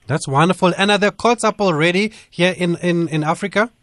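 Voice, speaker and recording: A male radio host introduces the guest and asks short, measured questions. His voice sits a little high, and it sounds close and dry, with no room echo. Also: a bit bright. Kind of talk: radio interview hosting.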